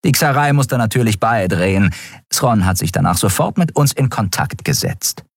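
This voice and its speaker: Man doing a predatory alien first officer woman, raised husky voice, brisk reporting, storybook reading. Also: lowish voice, lively intonation, dry recording, studio quality, voice pushed up. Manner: crisp military report.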